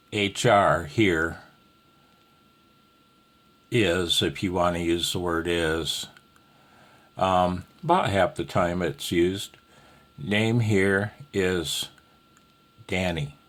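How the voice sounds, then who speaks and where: A man giving a casual, rambling amateur radio tutorial in a folksy, self-deprecating, slightly nervous way. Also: sits low.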